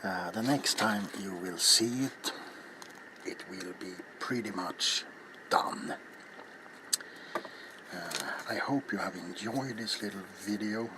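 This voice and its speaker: A middle-aged man speaking softly in ASMR style as he demonstrates a craft, halting and often slipping into a whisper. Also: lowish voice, background noise. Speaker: ASMR video maker and host. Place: small cluttered indoor workshop.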